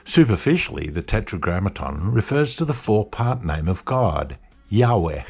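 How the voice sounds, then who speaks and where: A man giving a measured, scripted lecture-style narration as a podcast host. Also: fairly low pitch, very animated, darkish tone, slightly rough. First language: English.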